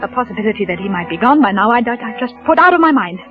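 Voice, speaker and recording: A woman's voice: on the high side, lively intonation, very fast, over music, close to an everyday voice. An actress playing a frightened young wife in a 1940s radio drama, stammering nervously as she hides her fear from her husband. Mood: tense, narrating. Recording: muffled old radio broadcast.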